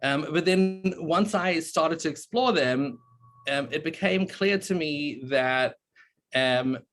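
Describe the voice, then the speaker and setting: A young man, a PhD candidate, giving a halting presentation on a video call with many fillers. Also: on the high side, a bit bright. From born in South Africa, raised in New Zealand. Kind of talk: online meeting presentation.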